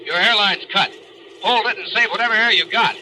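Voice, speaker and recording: A man's voice: high voice, fairly quick, pushed and bright, slight background noise, low-quality recording. A man playing an anxious pump man on a boat, calling urgently to divers in an old-time radio thriller. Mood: urgent, alarmed. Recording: vintage radio recording with music.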